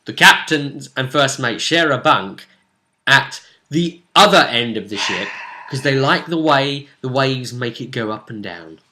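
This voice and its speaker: A British man chatting casually as a game master, retelling a tabletop session in an animated way and acting out what characters said. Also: medium pitch, unhurried. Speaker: tabletop role-play game master and co-host.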